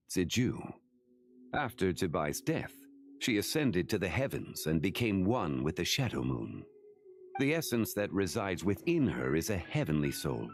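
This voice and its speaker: A very deep, formal ancient sage, speaking with gravity yet moving through his phrases with lively rises and falls. Faint music sits under his game dialogue. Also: heavily acted. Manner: formal, measured and grave. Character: ancient, composed male sage and consultant.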